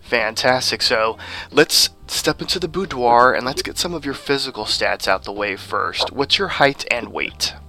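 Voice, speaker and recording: A man's voice: mid-range voice, expressive, sharp tone. A man hosting an interview show, asking questions in an upbeat, enthusiastic way. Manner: enthusiastic, quick to say "fantastic" and "awesome". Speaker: interview host.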